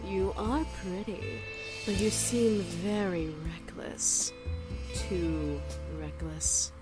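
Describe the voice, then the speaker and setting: A woman playing a sly, condescending, seductive succubus demon in a visual novel, teasing and smug with drawn-out words. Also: lowish voice. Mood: amused, haughty. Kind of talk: visual novel character acting. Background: game music.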